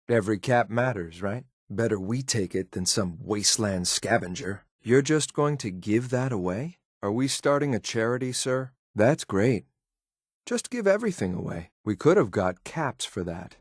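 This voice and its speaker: An actor playing a hard-edged, sardonic soldier companion in a video game, clipped and dry, loyal to his superior but quick to show disdain. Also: medium pitch, no echo. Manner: clipped, dry sarcasm, addresses superior respectfully.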